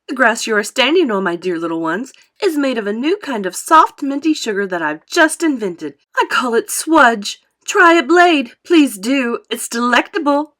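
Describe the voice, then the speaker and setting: Lady doing an excitable eccentric showman guy from a kids book, gushing and braggy, voice swings up and down. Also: fairly high pitch, moderate pace, few pauses, voice pushed up. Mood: delighted, coaxing. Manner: theatrical, emphatic, gushing with repetition. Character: exuberant, boastful, eccentric male chocolate factory owner.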